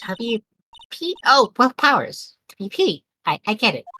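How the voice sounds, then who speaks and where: Woman doing a bright, bubbly young girl sidekick. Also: on the high side, big pitch swings, unhurried, a little hiss or hum, full character voice. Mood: earnest. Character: eager, bubbly young woman legal assistant, quirky and naive. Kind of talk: amateur group voice-over of a video game.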